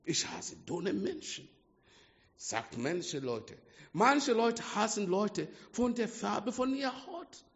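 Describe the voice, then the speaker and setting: Man, non-native German accent, emphatic sermon, unhurried delivery, lo-fi reverberant hall. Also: sits high, sing-song. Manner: slow, emphatic preaching with swings between hushed and loud. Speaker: preacher. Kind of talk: sermon to a small congregation. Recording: dull lo-fi sound with long hall reverb.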